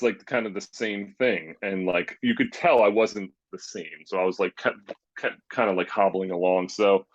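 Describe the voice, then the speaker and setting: A man's voice: deep voice, dry recording. A man, a former pro basketball player, telling rambling stories in a casual interview, full of fillers and quoting himself. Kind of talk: casual storytelling. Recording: dull, dry lo-fi sound.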